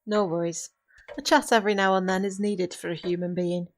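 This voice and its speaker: A woman playing a centuries-old witch in an audio drama, commanding and formal, with measured, theatrical phrasing as she mentors a novice. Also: medium pitch, fairly even intonation, close to an everyday voice. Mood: grave, instructive. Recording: voice over prominent background music.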